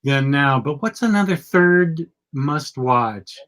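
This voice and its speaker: Sick guy hosting a live chat, kinda gravelly, rambly, restarts a lot. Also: on the high side, animated, takes it slowly, a bit bright. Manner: rambling, self-correcting, chatty. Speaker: podcast host.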